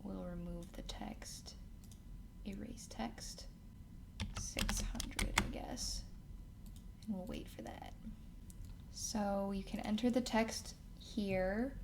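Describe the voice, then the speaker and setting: A woman hosting a casual software tutorial, thinking aloud as she works through each step. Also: mid-range voice, takes it slowly, long pauses. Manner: thinks aloud while working. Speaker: tutorial host. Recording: clear background noise, keyboard and mouse clicks.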